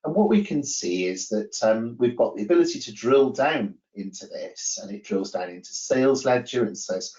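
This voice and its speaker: A man giving an informal software demonstration, talking through the screen with hesitant, repeated phrasing and self-corrections. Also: mid-range voice. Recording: roomy, distant, muffled microphone.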